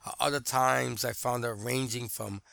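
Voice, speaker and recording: Gravelly-voiced man reviewing movies casually. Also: mid-range voice, fairly even intonation, moderate pace, a bit bright, no room sound. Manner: conversational, hesitant with many fillers and restarts. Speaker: film reviewer.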